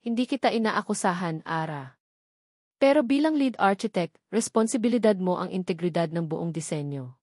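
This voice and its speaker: A woman's voice: fairly low pitch, animated, moderate pace, darkish tone, no room sound, studio quality. A woman voicing a stern, authoritative female boss in an office romance story. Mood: stern, demanding.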